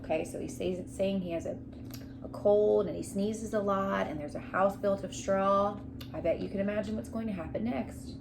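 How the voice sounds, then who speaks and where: Young woman, teacher, children's read-aloud lesson, explanatory, questioning, mid-pitched, noticeable background noise. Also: steady tone, normal speed. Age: young adult. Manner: explanatory, prompting. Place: living room at home, on a couch. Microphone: camera a short distance away. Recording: clearly noisy home room sound.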